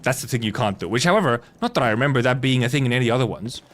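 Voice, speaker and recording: A man's voice: medium pitch, animated, racing, a bit bright, slight background noise. A man livestreaming a game, chatting casually in a rambling, blunt, sweary way. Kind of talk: casual gaming stream chat.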